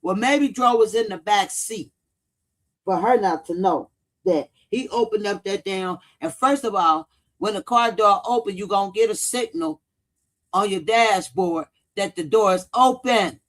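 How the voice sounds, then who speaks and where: High-pitched man, blunt livestreamer. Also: measured pace, fairly bright tone. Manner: blunt, profane, repeats points for emphasis. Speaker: true-crime commentary livestream host. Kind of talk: casual livestream commentary, replying to viewers.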